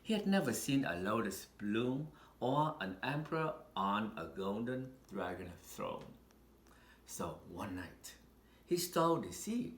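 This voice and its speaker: Slow, expressive male storybook reader. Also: on the low side. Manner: expressive, deliberate storytelling with dramatic pauses. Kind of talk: read-aloud children's picture book narration. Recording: roomy echo with faint background noise.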